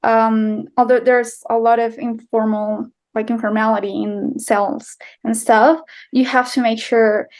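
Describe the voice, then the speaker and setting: Chatty Colombian woman, higher voice. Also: flat delivery, measured pace, few pauses. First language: Spanish. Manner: chatty, full of fillers and restarts, laughs easily. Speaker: guest, writer and filmmaker. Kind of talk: casual interview answers.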